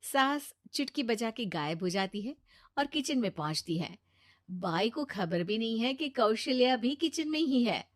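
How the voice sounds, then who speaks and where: A woman narrator of animated bedtime stories. Her voice sits a little high, and she delivers scripted storytelling lines between the characters' dialogue. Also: unhurried.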